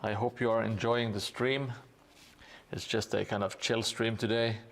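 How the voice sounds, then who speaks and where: A man streaming live, chatting casually and thanking viewers while he paints, with a relaxed, hesitant delivery full of fillers. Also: fairly low pitch, normal speed.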